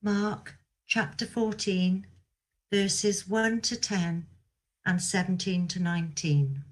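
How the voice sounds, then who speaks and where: A woman's voice: lowish voice, steady tone, slow. A woman giving a scripted Bible reading as a scripture reader over a video call.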